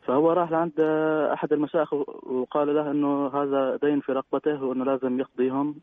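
A man calling in by phone, asking a string of questions politely and hesitantly, with many pauses and fillers. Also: on the high side, level tone, no room sound. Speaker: caller. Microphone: telephone. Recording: dry tinny phone line.

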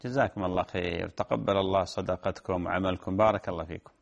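A man's voice: low voice, steady tone, fast talker, vocal fry, lo-fi sound. A man hosting a call-in show as a religious scholar, answering his callers with brief, courteous replies.

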